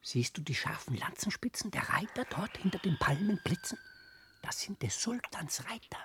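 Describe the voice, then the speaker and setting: An actor playing a watchful, jittery thief's son, whispering urgent verse in a radio play. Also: male voice, high-pitched, big pitch swings, moderate pace, a bit bright, exaggerated voice. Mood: furtive alarm.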